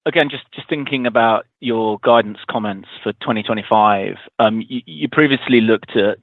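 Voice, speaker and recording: A man's voice: on the low side, moderate pace, dry recording. A man speaking as a financial analyst on an earnings call, hesitant and restarting as he puts his question. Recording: dry phone-like line.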